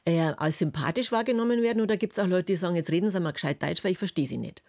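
A woman radio host with a somewhat low voice talks in a friendly, conversational way, often filling pauses. She speaks from a dry studio setting with no roominess. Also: darkish tone, no room sound. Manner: friendly, conversational, with many fillers. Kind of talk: radio interview and call-in hosting.

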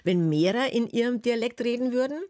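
A woman's voice: a little high, expressive, brisk, dark tone, no echo, clean studio sound. A woman, hosting a radio talk and call-in show in a friendly, conversational way with many fillers. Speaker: radio host. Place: radio studio.

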